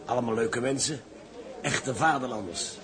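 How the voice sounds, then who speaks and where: An actor playing a plain-spoken, hearty chief ship's engineer in a period drama, affable and talkative. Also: male voice, mid-range voice, moderate pace, crowd noise, lightly acted. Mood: effusive, hearty. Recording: music playing behind.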